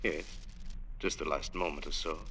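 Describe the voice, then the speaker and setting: Sly villain, deep and sharp. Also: male voice, fairly even intonation, moderate pace. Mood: mocking. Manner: mocking, urbane, theatrical. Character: suave, sly, treacherous gentleman rival. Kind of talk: vintage radio drama acting. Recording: noisy old radio recording.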